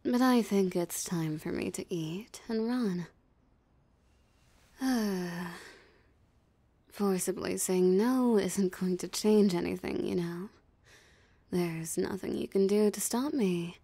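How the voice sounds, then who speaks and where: A woman's voice: medium pitch, measured pace, gravelly, mellow, no room sound. A woman voicing a possessive, mocking female vampire in an ASMR roleplay, with a teasing, theatrically smug drawl. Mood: dismissive. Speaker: voice actor. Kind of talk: ASMR roleplay audio drama.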